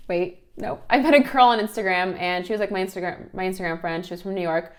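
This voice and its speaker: A woman's voice: on the low side, quick pace. Young woman chatting casually. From Ukraine, raised in Philadelphia from age seven. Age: young adult. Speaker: interview guest, bottle service worker and model. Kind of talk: casual chat, anecdotal storytelling.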